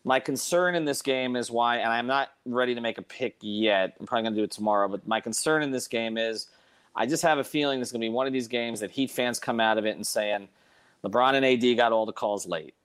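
A man hosting a casual sports talk show, speaking emphatically in halting, repeated phrases. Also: medium pitch, fairly bright tone, clean tone, no echo. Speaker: sports podcast host.

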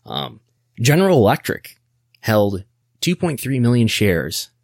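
A man's voice: medium pitch, huge pitch swings, a little slow, gravelly, no room sound, clean studio sound. An American man hosting a podcast, giving casual explanatory business commentary in a wry, nerdy way, often thinking aloud. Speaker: podcast host.

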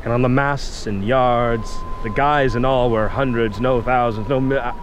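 Man as intense lighthouse keeper narrator, medium male pitch, suspenseful, dramatic, noisy vintage radio recording. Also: fairly even intonation, moderate pace, close to an everyday voice. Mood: mounting dread. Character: intense lighthouse keeper recounting a horror tale.

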